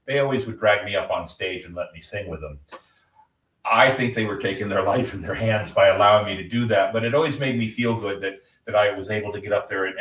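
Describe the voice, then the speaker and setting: Man, low voice, podcast host, rambling, laughs a lot, far from mic. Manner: energetic, rambling, laughs often. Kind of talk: casual podcast chat. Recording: roomy, off-mic.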